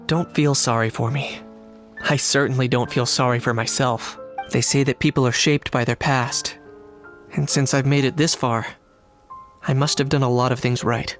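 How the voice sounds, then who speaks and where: A man voicing a composed, blunt, principled young knight in a video game, speaking in a measured, matter-of-fact way. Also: mid-range voice, steady tone, a bit bright, close to an everyday voice. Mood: calm, resolute. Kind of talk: scripted game dialogue. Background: music.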